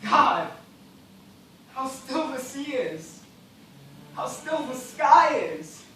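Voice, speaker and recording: A man on stage playing an anguished, bitter gentleman survivor of a shipwreck, declaiming in melodramatic style. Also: extremely high pitch, big pitch swings, very slow, heavily acted. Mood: despairing. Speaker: stage actor. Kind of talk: stage play dramatic monologue. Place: theatre stage, recorded from afar. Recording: very echoey stage, distant mic, loud noise.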